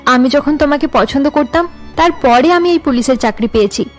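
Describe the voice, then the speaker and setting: A woman voices a resolute young policewoman wife in cartoon melodrama. Her high voice explains things earnestly over faint music. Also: expressive, fairly quick. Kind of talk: cartoon drama dialogue.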